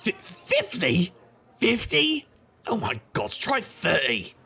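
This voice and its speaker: Male, middle-aged private eye, acted: world-weary, wry, deep fry and gravel, audio drama noir. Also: wildly swooping pitch, slow pace, bright, forceful tone, full character voice. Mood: agitated, urgent. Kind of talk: audio drama narration and dialogue.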